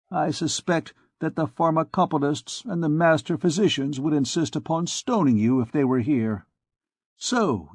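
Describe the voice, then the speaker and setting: A scornful, hot-tempered royal physician voiced by a male narrator jeers in a raised, strained voice. His speech climbs higher as he argues. The reading sounds close and dry, with no room around it. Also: darkish tone, no echo. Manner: scornful, sarcastic, heated. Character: irritable middle-aged male court physician. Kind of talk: audiobook character reading.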